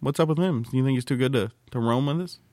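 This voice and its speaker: A man's voice: mid-range voice, fast talker, darkish tone, dry recording. A man hosting a podcast in casual banter, with a teasing, mock-aggrieved manner. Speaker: podcast host.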